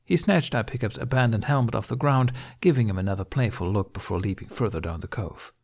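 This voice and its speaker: Low-voiced man narrating a story. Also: fairly quick, gravelly, a little mellow. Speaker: amateur audiobook narrator. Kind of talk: scripted fan fiction narration.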